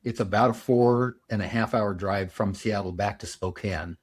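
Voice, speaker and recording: Host around seventy, low husky voice, measured and thoughtful. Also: male voice. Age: 70s. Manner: reflective, measured storytelling. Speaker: podcast host. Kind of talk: casual podcast conversation.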